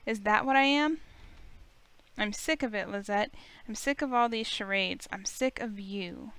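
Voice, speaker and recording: A woman voicing a grieving high school heroine, bitter yet earnest, in visual novel narration and dialogue. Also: a bit bright, smooth. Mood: bitter anger.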